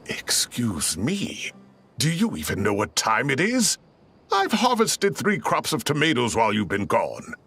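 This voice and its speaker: An actor playing a fussy, impatient professor who teases in a sarcastic, lively way. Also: male voice, medium pitch, measured pace, a little hiss or hum, exaggerated voice. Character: fussy, impatient male professor, teasing but caring. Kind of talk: video game voice acting. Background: game music.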